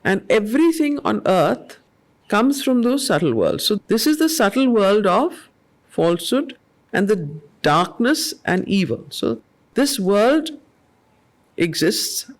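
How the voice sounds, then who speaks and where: Woman leading a group study, slow deliberate reading of verse, earnest teacherly explanation. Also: medium pitch, very animated. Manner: slow, measured recitation that repeats lines of verse, then conversational explaining; earnest, asks the group questions and answers them herself. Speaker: study circle facilitator. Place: weekly study group gathering.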